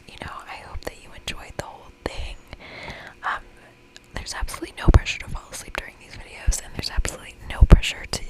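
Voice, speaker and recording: A woman whispering in a breathy, gentle, reassuring and chatty way as she hosts an ASMR game playthrough. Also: low-quality recording. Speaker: host of an ASMR gaming channel.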